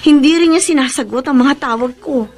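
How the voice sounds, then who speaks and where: A Filipino actress playing a meek, lovelorn daughter in a radio drama, plaintive and pleading. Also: female voice, high-pitched, fairly quick, lightly acted. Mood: plaintive, longing. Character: lovelorn, suffering adult daughter, meek and weary.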